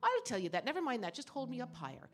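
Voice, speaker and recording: A woman voicing a wee, bossy, wily male leprechaun in a live folk tale, scolding and exclaiming excitedly. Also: a little high, fast talker, soft background music, pitched above the performer's own voice. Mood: grudging, bossy.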